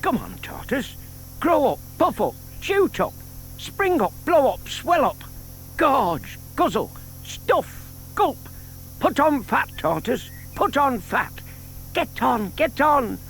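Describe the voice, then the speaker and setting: An actor playing a shy, scheming older gentleman who explains things patiently and politely in a children's audio drama. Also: very high voice, very animated, slow, gravelly, heavily acted. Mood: earnest, urging. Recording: audible hiss from old cassette tape.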